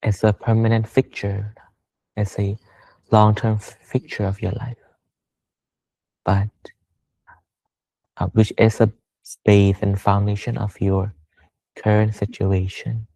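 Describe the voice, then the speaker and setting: A man teaching a guided Buddhist meditation, speaking slowly and measuredly in short phrases with pauses. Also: lowish voice, flat delivery, gravelly, warm tone, no room sound, rough recording. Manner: slow, measured, pausing between short phrases.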